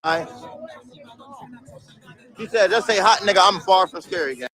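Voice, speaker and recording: A man's voice: high-pitched, animated, moderate pace, sharp tone, poor audio. A young man livestreaming from a city street, chatting loosely with his viewers in heavy slang and swearing, reading comments aloud and laughing at them.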